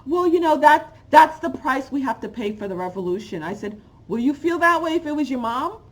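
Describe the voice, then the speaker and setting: A fired-up woman rants into a close webcam at home. Her voice sits fairly high, jumping from loud outbursts down to low, muttered asides. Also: a little fast. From Haiti, raised in New York. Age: 40s. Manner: animated, swings from loud exclamations to low asides. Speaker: channel host. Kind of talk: opinionated vlog rant. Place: home room with bookshelves, indoors. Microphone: webcam at close range.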